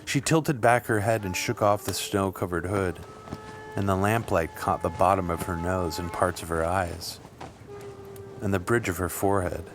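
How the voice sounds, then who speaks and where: A man reading scripted literary fiction as an audiobook narrator, with measured, deliberate phrasing. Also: low voice, steady tone. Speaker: audiobook reader. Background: music.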